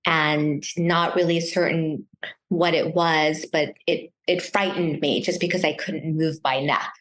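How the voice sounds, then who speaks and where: A middle-aged woman born in Taiwan, talking casually as an interview guest, often pausing and restarting her sentences. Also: low voice, fairly even intonation, poor audio. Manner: hesitant, many restarts and fillers.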